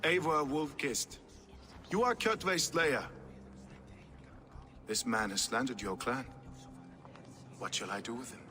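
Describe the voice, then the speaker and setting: Proud viking king for a video game, big formal speeches, forceful bright voice, echoing hall. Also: mid-range voice, soft background music. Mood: stern, judging. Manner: grandiose, measured, commanding speech. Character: proud ambitious Norse warrior king addressing his hall. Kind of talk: video game cinematic acting, formal oratory. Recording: reverberant hall with faint background noise.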